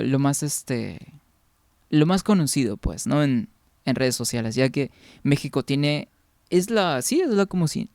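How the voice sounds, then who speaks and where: A man's voice: medium pitch, a little mellow, smooth, no echo, clean studio sound. A young man from Peru, chatting casually with viewers during a game stream, rambling along and answering their comments.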